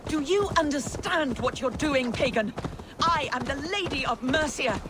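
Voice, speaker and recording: A woman's voice: sits high, moderate pace, heavy background noise, lightly acted. Woman acting a haughty, defiant captive noblewoman. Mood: outraged, frightened. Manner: haughty, imperious. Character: proud, defiant captive noblewoman, scornful and pious. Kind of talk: dramatic video game acting. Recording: music behind the voice. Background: music.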